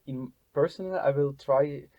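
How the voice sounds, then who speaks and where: A man's voice: on the high side, normal speed, no echo, lo-fi sound. A young man with a Ukrainian accent, hosting a casual interview in English and speaking hesitantly as he searches for words. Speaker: podcast host.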